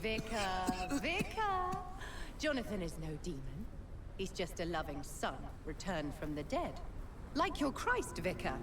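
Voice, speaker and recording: A woman with an English accent playing a vengeful, grieving undead vampire sister in a video game, mocking and unhinged, in a theatrical, drawn-out manner. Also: on the low side, wildly swooping pitch, noisy background. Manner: taunting, dramatic, drawn-out.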